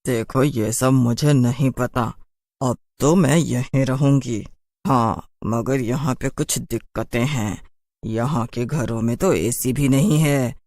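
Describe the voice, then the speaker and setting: A woman's voice: a bass voice, slow, a little mellow, no room sound, clean studio sound, heavily acted. A woman playing a haughty, mocking city witch in a horror cartoon, with a taunting drawl. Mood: complaining, dismissive.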